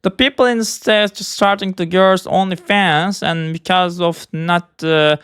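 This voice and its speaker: Man, 20s, Turkish accent, non-native English, halting, rambling, slightly high, dry close sound. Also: fairly even intonation, a bit bright, clear, resonant tone, no echo. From Turkey. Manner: halting, searching for English words. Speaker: vlogger. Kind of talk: rambling personal monologue.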